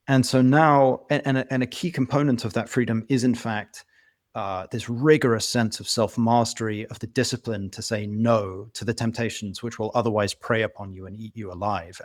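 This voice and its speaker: A man's voice: fairly low pitch, dry recording, clean studio sound. A British man from London, an author being interviewed, reasoning aloud at length in an earnest, conversational way. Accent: British English. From England (London). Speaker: author guest.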